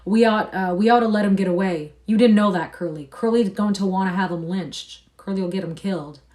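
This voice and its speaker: A woman's voice: lowish voice, normal speed, mellow, lightly acted. A woman reading aloud and voicing an old male ranch hand who talks in rural, folksy speech. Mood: pleading.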